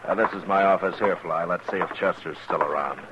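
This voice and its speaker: A man's voice: low-pitched, steady tone, brisk, background noise, close to an everyday voice. An American actor playing a shrewd, authoritative frontier lawman in a 1950s radio western, terse and commanding. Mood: calm, businesslike. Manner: terse, commanding, plain-spoken. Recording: muffled 1950s radio recording.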